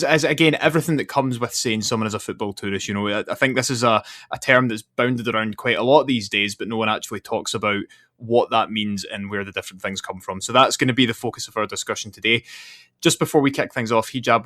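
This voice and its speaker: Low-voiced male podcast host. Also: fast, pushed and bright, no echo, clean studio sound. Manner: talkative, explains at length with fillers. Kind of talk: casual podcast interview.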